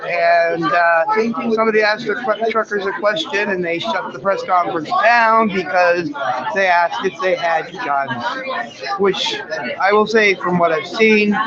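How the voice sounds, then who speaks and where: A hoarse man with a high voice, rambling casually into his phone while streaming live from outdoors. He loses his thread often and restarts his sentences. The audio is muffled, and a busy crowd hums behind him. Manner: rambling, with many fillers and restarts. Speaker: livestreaming independent journalist. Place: outdoors among a crowd near a press conference.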